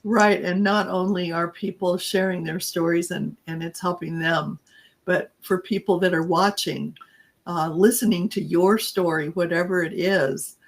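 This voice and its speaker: A woman podcast co-host and coach with a scratchy, raspy voice, telling her own story in a halting, reflective way. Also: fairly low pitch, fairly even intonation. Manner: halting and reflective, trails off mid-thought. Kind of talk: personal storytelling in casual chat.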